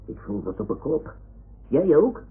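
Casting: pompous toy lion, fussy grumbler and confidant, vintage radio drama, tinny narrow-band sound. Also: male voice, fairly high pitch, sing-song, a little slow. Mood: grumbling. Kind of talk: 1960s Dutch radio play acting.

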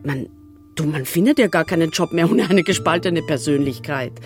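An actress playing a blunt, doubting friend in a radio drama, husky and halting. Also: female voice, low voice, expressive, faint music behind. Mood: exasperated, lecturing. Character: blunt, doubting best friend with a guilty secret. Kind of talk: radio drama acting, dialogue and monologue.

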